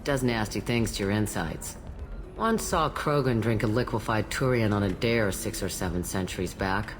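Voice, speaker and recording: An actress playing an ancient, blunt alien matriarch tending bar in a video game, with a gruff, wry manner. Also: female voice, extremely low pitch, animated, normal speed, over music. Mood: dry, casual. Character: jaded, blunt thousand-year-old alien matriarch bartender. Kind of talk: video game character dialogue.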